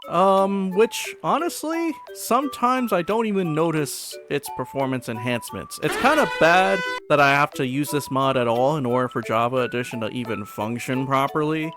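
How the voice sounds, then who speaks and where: A man talks through a gaming video as its host. His pitch moves around a lot, and his delivery is informal and conversational. Music plays clearly behind his voice. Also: lively intonation, normal speed, hardly any pauses. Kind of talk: casual gaming video commentary.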